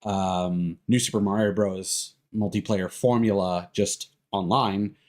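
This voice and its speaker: A man's voice: fairly low pitch, steady tone, slow pace, darkish tone. A man giving casual, rambling gameplay commentary as a streamer, hesitant and drifting into tangents. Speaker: video game streamer. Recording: music behind the voice.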